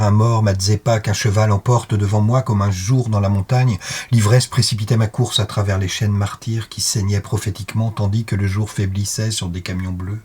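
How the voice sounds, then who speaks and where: Guy reading a poem out loud, lowish husky voice, short insistent chanted phrases, dramatic. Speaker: poetry reader. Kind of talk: dramatic poetry recitation.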